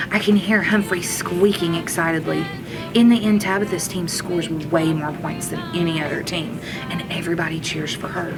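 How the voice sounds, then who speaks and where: A woman reading a children's storybook aloud like a teacher, in an expressive manner. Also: medium pitch. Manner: expressive, acts out characters' lines.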